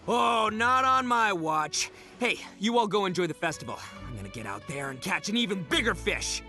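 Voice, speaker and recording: An actor playing a boastful, excitable young man obsessed with fishing in an anime game, bragging emphatically. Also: high voice, normal speed, faint music behind, exaggerated voice. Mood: fired up.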